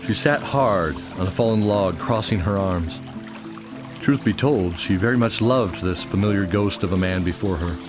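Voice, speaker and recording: Male narrator, very low register, scripted fantasy radio play over clear music bed. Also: normal speed.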